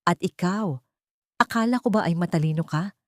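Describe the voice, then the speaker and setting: A woman's voice: lowish voice, normal speed, darkish tone, no echo, studio quality, natural voice. A woman voicing a cruel, scheming older matriarch in an audio drama, scolding and commanding. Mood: scornful, angry.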